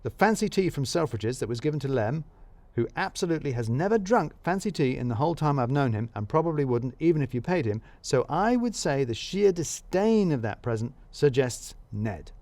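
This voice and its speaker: A man's voice: medium pitch, a little mellow, no room sound. A man narrating a scripted audio drama as a detective presenting his case, dry and wry.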